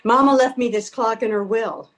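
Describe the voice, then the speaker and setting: A woman's voice: fairly high pitch, moderate pace, fairly bright tone, soft background music, natural voice. A woman playing a bossy, practical older woman in a comic readers theater piece, impatient and scolding but caring underneath. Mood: resigned, softer. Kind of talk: comedic readers theater acting.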